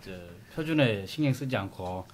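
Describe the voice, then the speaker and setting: A man's voice: mid-range voice, animated, moderate pace. A middle-aged man with a regional Korean dialect who speaks plainly as a regular podcast guest. He keeps his answers short. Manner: plain-spoken, brief answers, chuckles. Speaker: farmers' association chairman, podcast regular.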